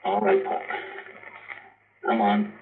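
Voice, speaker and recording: An actor in a 1950s radio drama playing a gruff, practical spaceship crewman who gives terse orders. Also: fairly low pitch, fairly even intonation, slow. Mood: urgent, reassuring. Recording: muffled old radio recording, roomy, noisy.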